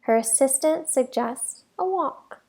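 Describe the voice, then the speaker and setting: A woman reading a children's picture book aloud as a teacher, with expressive delivery and deliberate, emphatic phrasing for young listeners. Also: high-pitched, gravelly.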